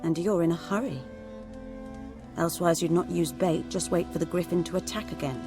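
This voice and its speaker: An actress playing a calm, wry female herbalist in a video game, speaking unhurriedly. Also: lowish voice, brisk, lightly acted. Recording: game music behind.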